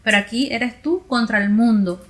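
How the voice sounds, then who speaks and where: A woman with a Cuban accent, a podcast host thinking out loud in a relaxed conversation with her guests. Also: on the high side, moderate pace. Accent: Cuban Spanish. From Cuba. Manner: reflective, speaks in long explanatory runs. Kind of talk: casual conversation.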